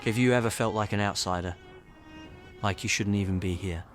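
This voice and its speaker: A man playing a clever time-travelling outsider in an audio drama, with a fairly low voice. He speaks as an encouraging but troubled mentor. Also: fairly quick, music in the background, natural voice. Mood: troubled, pensive.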